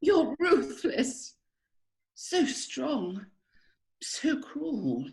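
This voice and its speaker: A woman playing a passionate aristocratic widow in an amateur play reading, with theatrical, drawn-out delivery. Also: high-pitched, very animated, gravelly, a little mellow, exaggerated voice. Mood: melodramatic. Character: melodramatic aristocratic lady of the manor, passionate widow.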